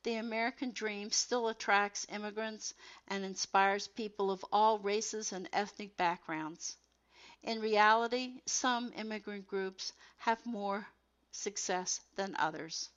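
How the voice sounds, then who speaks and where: Female narrator, mid-pitched, scripted textbook reading, measured, careful enunciation, slightly muffled lo-fi recording. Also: fairly bright tone.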